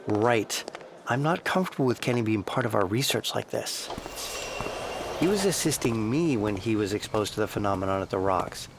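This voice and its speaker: A man's voice: on the low side, animated, moderate pace, background noise. A man playing a conscientious, guarded male researcher who sounds earnest and worried, in an audio drama.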